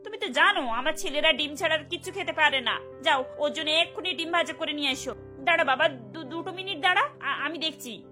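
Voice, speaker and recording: Woman playing a bossy older mother-in-law, high-pitched, bright piercing tone, nagging cartoon matriarch, music behind. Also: fairly quick. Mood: scolding, fussing. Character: bossy, scolding older Bengali mother-in-law fussing over sons. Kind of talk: cartoon voice acting.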